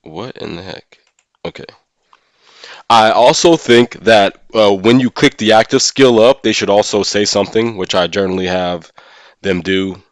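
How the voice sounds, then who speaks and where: A man with a fairly deep voice talks as a livestreamer in a casual discussion. He thinks aloud in an opinionated, conversational way, often checking in with his listeners. The sound is dry, with very little room around the voice. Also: normal speed, no room sound. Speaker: live streamer and gaming content creator.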